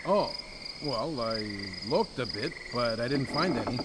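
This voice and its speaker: A man playing a slow-witted, hesitant and sheepish henchman in a video game. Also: medium pitch, animated, very noisy, natural voice.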